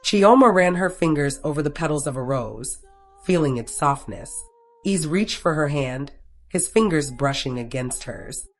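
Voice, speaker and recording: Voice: medium pitch, expressive, normal speed. A narrator reading a scripted romantic story, telling it in the third person.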